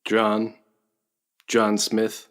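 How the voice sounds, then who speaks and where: An actor playing a dazed, brainwashed big male prisoner in a radio play, giving halting, vacant replies. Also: lowish voice, monotone. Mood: dazed, uneasy.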